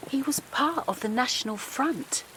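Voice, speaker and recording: A woman's voice: high-pitched, lively intonation, moderate pace, a bit bright, a little hiss or hum. An actress playing an outspoken, principled woman activist in an audio drama, challenging and indignant, asking pointed questions. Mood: incredulous, indignant. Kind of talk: naturalistic audio drama acting.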